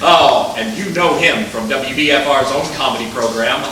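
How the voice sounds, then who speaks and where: A man's voice: mid-range voice, normal speed, pushed and bright, natural voice. A man playing a genial, grandiose 1940s radio announcer addressing a studio audience in a live stage play. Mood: showy, proud. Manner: grandiose, showman-like. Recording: echoey theatre from far off, crowd noise. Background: live audience noise.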